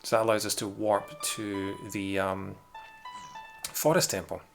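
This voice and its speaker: Low gravelly mildly Scottish man. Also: little pitch movement, measured pace. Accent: mild Scottish. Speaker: game streamer. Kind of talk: casual live gameplay commentary. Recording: game music playing behind.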